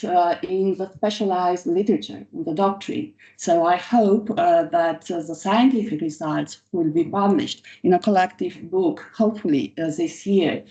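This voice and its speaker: A middle-aged woman from Romania with a Romanian accent, giving a formal opening address at a conference and pausing often on fillers. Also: fairly low pitch, dark tone, echoey room, rough recording. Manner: halting, with frequent filler pauses. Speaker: conference co-organizer and speaker. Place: conference hall.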